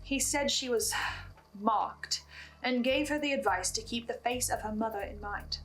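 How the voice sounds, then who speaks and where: A woman delivering a scripted, dramatic video log monologue with ominous pauses for effect. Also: on the high side, a bit bright, rough recording. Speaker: host of a listener's video log. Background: music behind the voice.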